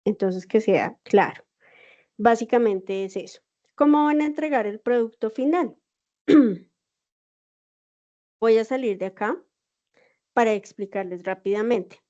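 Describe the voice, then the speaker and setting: Mellow-voiced Colombian woman teaching online. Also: on the high side, expressive, unhurried, long pauses. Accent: Bogotá Spanish. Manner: patient, explanatory, checks with "¿sí?". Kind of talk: online class instruction. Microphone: video call. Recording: dull lo-fi video call audio.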